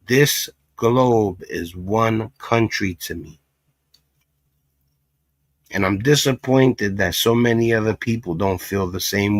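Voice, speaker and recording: A man's voice: on the low side, a bit bright, no room sound, rough recording. A man from Puerto Rico hosting a casual reaction livestream, talking emphatically with frequent asides. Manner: emphatic, talks in repeated asides. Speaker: livestream commentary host.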